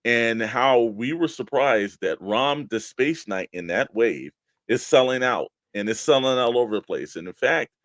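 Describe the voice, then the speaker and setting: A man's voice: medium pitch, expressive, a little slow, fairly bright tone, dry recording. A man co-hosting a casual talk show about a hobby, speaking in an informal, rambling way. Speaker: show co-host. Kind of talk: casual chat about toy collecting.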